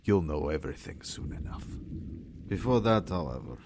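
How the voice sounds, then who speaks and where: Man playing cruel Russian interrogator, low voice, gloating, menacing, theatrical radio-drama villain, faint noise behind. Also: lively intonation, a little mellow. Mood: mocking. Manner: taunting, menacing, drawn-out theatrical delivery. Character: sadistic Russian ex-intelligence captain, gloating interrogator. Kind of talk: audio drama villain acting.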